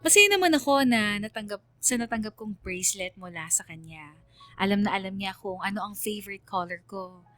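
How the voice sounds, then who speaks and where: A woman's voice: mid-range voice, animated, a little fast, fairly bright tone, no echo, soft background music. A woman with a Filipino accent narrating a scripted radio story in an earnest, sentimental way.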